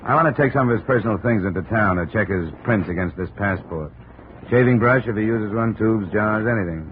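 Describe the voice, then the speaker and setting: A man's voice: on the low side, brisk, lightly acted. An actor playing a dry, terse insurance investigator who also narrates his case, in 1950s radio drama style. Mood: businesslike. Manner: terse, dry, matter-of-fact. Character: hard-boiled male insurance investigator, dry and unhurried. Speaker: lead detective and narrator. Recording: noisy, muffled old-time radio recording.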